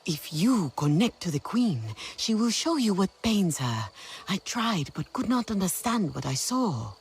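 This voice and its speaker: A woman's voice: mid-range voice, sing-song, measured pace, slightly rough, heavily acted. An actress playing a determined female alien clan leader in a video game cutscene, speaking in a resolute, encouraging way.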